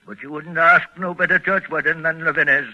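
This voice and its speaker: A man's voice: fairly high pitch, steady tone, fairly quick, bright, forceful tone. An actor playing a sly, boastful old New England country grandfather in a folksy, cryptic 1940s radio drama manner. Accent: rural New England. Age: older. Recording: dull vintage 1940s radio recording.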